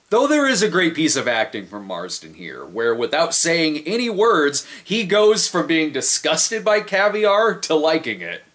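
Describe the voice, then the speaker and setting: A man's voice: on the low side, sharp tone. A man hosting a comedic film review voice-over, full of sarcastic, mocking asides.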